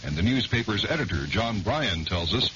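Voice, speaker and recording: A man's voice: on the low side, big pitch swings, normal speed. An American man reading scripted news as a radio anchor. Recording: dull, hissy 1970s radio recording.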